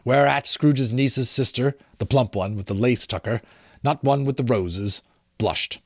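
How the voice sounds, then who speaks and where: A man's voice: mid-range voice, measured pace, no echo, clean studio sound. A middle-aged man giving a dramatic, emphatic storybook narration, with casual explanatory asides. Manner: theatrical, emphatic, breaks off to explain. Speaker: amateur audiobook reader.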